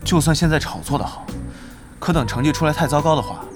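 An actor playing an earnest young esports team captain, polite, hesitant and deferential, in a radio drama. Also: male voice, on the high side, quick pace, close to an everyday voice. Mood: earnest, worried. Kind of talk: Chinese radio drama dialogue. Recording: music behind the voice.

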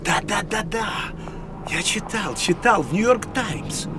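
Eager high-voiced gossip, animated, music behind, archive radio play. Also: male voice, a little slow. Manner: eager, chattering, exclaiming. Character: excitable male gossip spreading theatre news. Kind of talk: 1970s radio play acting.

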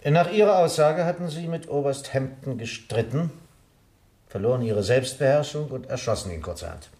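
A man acting as a sceptical police inspector in a radio mystery. He questions formally and insistently in a mid-pitched voice, in a clearly echoey room. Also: animated, normal speed, a little mellow, lightly acted. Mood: probing. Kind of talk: German radio crime drama acting.

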